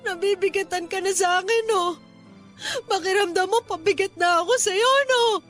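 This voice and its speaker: A woman's voice: very high voice, normal speed, a bit bright, faint music behind. A woman acting in a Tagalog radio drama as a despairing, fragile young mother, wounded, tearful and full of self-pity. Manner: tearful, wounded, emotionally intense.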